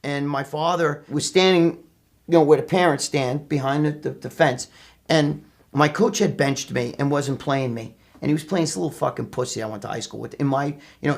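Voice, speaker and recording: A man's voice: a little high, gravelly. A middle-aged man with a New York accent telling personal stories casually, brash and profane, acting out what people said. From New York, USA. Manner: brash, profane, animated retelling with acted quotes. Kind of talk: casual anecdotal storytelling.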